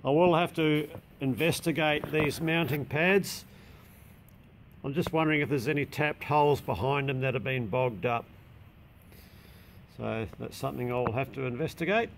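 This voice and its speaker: Australian man, gravelly voice, matter-of-fact shop walkthrough, big workshop shed, handheld camera, faint background hiss. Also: mid-range voice, moderate pace. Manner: matter-of-fact, plain-spoken with slang. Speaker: machine shop owner. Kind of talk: casual walkthrough narration. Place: large indoor workshop shed.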